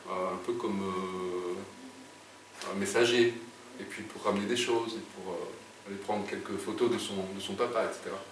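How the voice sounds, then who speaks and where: Brief: middle-aged man, low voice, halting storyteller, recorded from afar in a roomy space. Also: steady tone. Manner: hesitant, many fillers and restarts. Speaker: guest writer. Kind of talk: casual interview storytelling. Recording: echoey room, distant mic, background noise.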